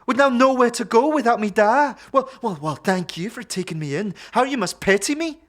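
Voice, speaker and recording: A woman playing a prickly young tiefling thief, swooping pitch. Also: expressive. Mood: indignant, sarcastic. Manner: sharp-tongued, sarcastic, defensive, rapid questioning. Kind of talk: audio drama game-dialogue acting.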